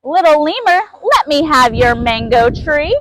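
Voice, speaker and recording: Storybook villain: a big bad fire acted by a woman, high-pitched, roaring and menacing. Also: big pitch swings, unhurried, a bit bright, full character voice. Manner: roaring, chanting threats.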